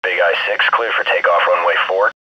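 A man playing a brisk military air-recon officer in a game, making clipped, authoritative radio calls. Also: low-pitched, fairly even intonation, slightly rough, highs cut off. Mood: businesslike.

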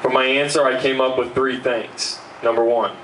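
A man's voice: medium pitch, moderate pace. A young man, giving an earnest keynote speech from notes at an outdoor benefit, speaking into a handheld mic. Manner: earnest, steady, fillers between points. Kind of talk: reading a prepared speech. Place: outdoor backyard party. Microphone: handheld mic, recorded by far camera. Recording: distant roomy outdoor sound, faint hum.

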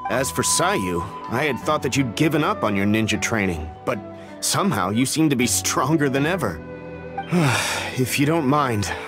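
A caring middle-aged father voiced by a man speaks politely and gently, in an ordinary middle-range voice. Game music plays clearly behind him. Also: mid-range voice, natural voice. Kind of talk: video game voice acting.

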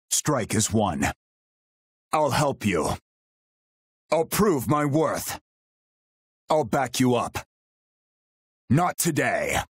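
A man voicing a stoic, loyal royal guardian in a video game, husky, solemn, terse and formal. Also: medium pitch, no echo, clean studio sound, heavily acted. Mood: resolute. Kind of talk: video game battle voice lines.

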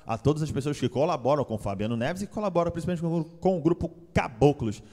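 A young man appearing as a guest singer on a TV show, speaking excitedly through a handheld mic and sending shout-outs. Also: fairly high pitch, fast, in a large hall. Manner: hype, shouts out to friends and sponsors. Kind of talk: excited TV chat and singing. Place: TV studio with live band. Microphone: handheld microphone. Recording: studio with clear music behind.

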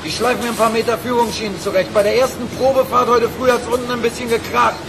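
An actor playing a gruff, grudging lift mechanic in a radio crime drama, answering in an offhand way. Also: male voice, extremely high pitch, level tone, fast talker, crowd noise, noisy background. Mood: defensive.

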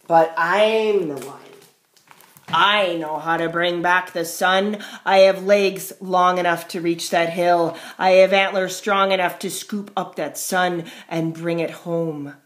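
Casting: woman to play a proud storybook moose, loud and declaiming, in an echoing room. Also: lowish voice, fairly even intonation, slow pace. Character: mighty, boastful moose. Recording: roomy, echoing space.

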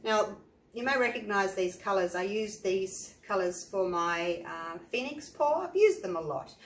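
An Australian woman, an art teacher, chatting step by step through a painting tutorial with a croaky voice from the flu. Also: medium pitch, animated, a little slow. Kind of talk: tutorial narration. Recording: echoey room, faint noise.

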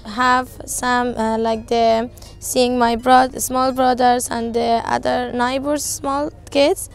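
A fifteen-year-old girl from Afghanistan with an Afghan accent, answering interview questions in halting, simple non-native English. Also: on the high side, flat delivery, gravelly, clean tone. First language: not English. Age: 15, teenager. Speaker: interviewee, volunteer translator. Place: outdoors on a roadside.

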